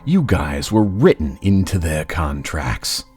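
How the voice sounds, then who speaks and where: A man plays a sly, menacing supervillain from a comic book dub. The voice is very deep and rough-edged, drawling slowly through long condescending taunts. Soft music sits underneath. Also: big pitch swings, full character voice. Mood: sly, expository. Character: gloating, menacing male supervillain, sly and talkative. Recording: faint music behind.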